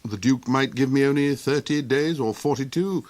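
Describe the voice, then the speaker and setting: A man's voice: medium pitch, moderate pace, a little mellow, lightly acted. A man doing an eager, anxious young prince. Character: earnest young prince in disguise.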